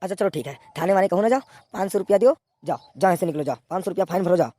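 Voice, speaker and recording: A woman's voice: on the low side, racing, mellow, no room sound, natural voice. Woman voicing a scolding village cartoon policeman, dry recording. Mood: angry, commanding. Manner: scolding, repetitive commands. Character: exasperated, bossy male village policeman at a checkpoint. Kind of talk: comic cartoon dialogue.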